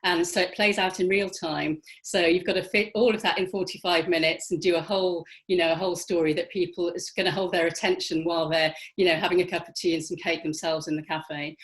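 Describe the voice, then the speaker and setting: Low-pitched woman from the UK, explaining conversationally. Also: steady tone, a little fast, few pauses. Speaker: writer interviewed on a panel.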